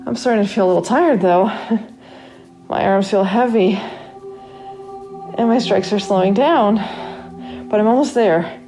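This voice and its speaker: Horror audio story lead: woman playing a trapped accident victim, medium pitch, confiding, dramatic inner monologue, music underneath. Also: measured pace, long pauses, natural voice, rough recording. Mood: desperate, straining. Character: trapped narrator waking after accident, wry then panicked. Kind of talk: first-person horror story reading. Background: original music.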